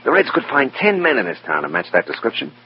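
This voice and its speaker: An actor playing a matter-of-fact, persuasive FBI agent with a plain-spoken, folksy manner in an old radio drama. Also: male voice, medium pitch, wildly swooping pitch, fast talker. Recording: dull, faintly noisy old radio recording.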